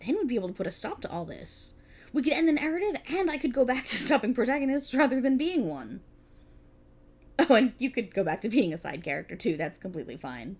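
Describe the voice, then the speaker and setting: A woman's voice: fairly high pitch, very animated, fast talker, darkish tone, husky, exaggerated voice. A woman playing a prickly, exasperated police officer in a scripted ASMR roleplay, talking in a wry, bossy, explanatory way to an unheard partner. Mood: resigned, wry. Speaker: voice actor in audio roleplay. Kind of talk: scripted ASMR roleplay, one-sided dialogue.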